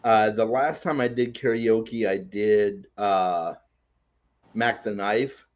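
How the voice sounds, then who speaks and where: A man's voice: fairly low pitch, fairly even intonation, takes it slowly. A man in his forties hosting a casual podcast chat, friendly and hesitant, often repeating his words. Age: 40s. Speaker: podcast host. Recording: dull lo-fi mic.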